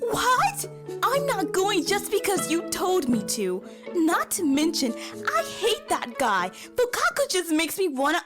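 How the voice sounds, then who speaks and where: A woman's voice: very high-pitched, wildly swooping pitch, a little slow, music in the background, full character voice. A woman playing a hot-blooded, stubborn and teasing young pregnant ninja in a fan-made anime audio drama. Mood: indignant.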